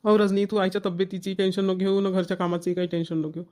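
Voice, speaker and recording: An actor playing a devoted, earnest young newlywed husband in a cartoon family comedy, with a Varhadi Marathi accent from Vidarbha. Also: male voice, high voice, little pitch movement, very fast, a little mellow, close to an everyday voice. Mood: reassuring.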